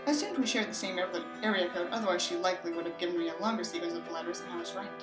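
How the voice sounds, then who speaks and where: A woman's voice: lowish voice, racing, music in the background. Woman reading aloud in an echoey room. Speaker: audiobook reader and commentator. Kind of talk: expressive book narration with mocking asides.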